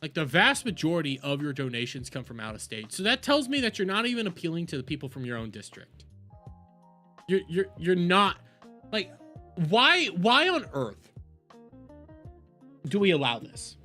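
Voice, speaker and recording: A man giving a casual, exasperated political rant as a streamer, halting and repeating words, sometimes swearing. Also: a little high, very animated, sharp tone, soft background music. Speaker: streamer, host.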